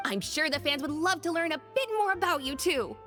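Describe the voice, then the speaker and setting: An actress playing an inquisitive, persistent entertainment reporter in a video game, with polished delivery. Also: female voice, sits high, animated, brisk, fairly bright tone, faint music behind. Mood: coaxing. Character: bright-eyed, inquisitive female entertainment reporter.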